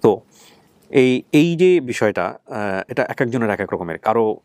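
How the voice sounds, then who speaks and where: A man's voice: mid-range voice, sing-song, measured pace, a little mellow, dry recording. A man with a Bangladeshi accent hosting and interviewing conversationally, explaining things and probing with rephrased questions. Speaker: show host. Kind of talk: conversational interviewing on a family reunion show.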